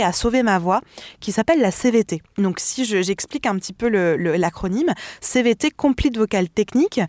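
A woman's voice: medium pitch, a little mellow, no echo. A woman, a singer and vocal mentor, talking expressively as a radio interview guest, often laughing and restarting her sentences.

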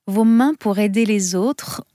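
A woman's voice: medium pitch, a little slow, dark tone, no echo. A woman hosting a radio interview, asking guiding questions in a polite, complimentary way. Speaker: radio host.

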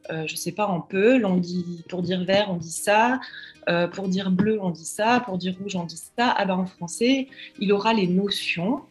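Woman explaining child bilingualism earnestly. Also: fairly low pitch. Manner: earnest, explanatory, frequent fillers. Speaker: child language expert. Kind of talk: advice interview.

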